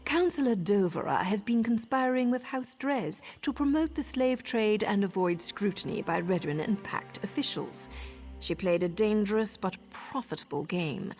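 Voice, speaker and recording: An actress playing a poised professional assassin and mentor in a video game, with a wry, measured, self-assured delivery. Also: female voice, mid-range voice, vocal fry, darkish tone. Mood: cool, explaining.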